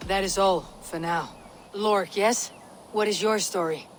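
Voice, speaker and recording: A woman's voice: mid-range voice, steady tone, measured pace, lightly acted. Viking interrogator , female actor: stern, commanding, clipped game cutscene delivery, mid-range voice over faint noise. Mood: stern, probing. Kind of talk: video game cutscene acting.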